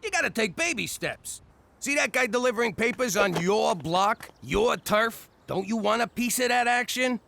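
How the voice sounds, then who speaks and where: Gravelly, animated New York ex-mobster. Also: male voice, extremely high pitch, normal speed, a little hiss or hum, exaggerated voice. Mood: boastful. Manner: exasperated, wisecracking. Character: brash middle-aged ex-mobster dad from New York, exasperated. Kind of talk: adult cartoon comedy voice acting.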